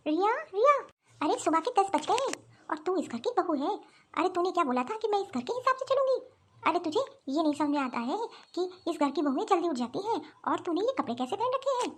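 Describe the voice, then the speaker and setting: A woman's voice: very high-pitched, sing-song, brisk. A woman playing a strict, nagging mother-in-law in a Hindi family cartoon, scolding and insistent.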